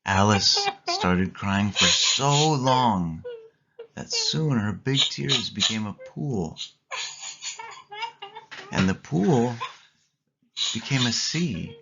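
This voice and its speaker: A storyteller reading a scripted children's story aloud in measured phrases with deliberate pauses. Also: mid-range voice, wildly swooping pitch, muffled recording, background noise. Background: clear background music.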